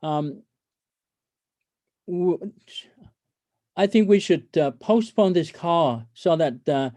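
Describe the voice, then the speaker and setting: A man speaking as a company executive on an investor conference call, halting and hesitant as he presents, often stammering over his words. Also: fairly high pitch, expressive, warm tone, dry recording. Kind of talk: corporate earnings call presentation.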